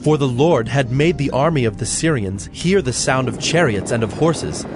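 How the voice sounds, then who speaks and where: A man narrating a dramatized scripture reading in a measured, solemn manner. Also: mid-range voice, lively intonation. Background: soft background music.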